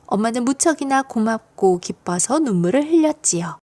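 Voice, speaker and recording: A woman narrates a children's story from a script. Her voice sits high and moves widely up and down. She tells it expressively, as if for young listeners. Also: expressive, moderate pace, dark tone. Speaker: audiobook narrator of children's stories.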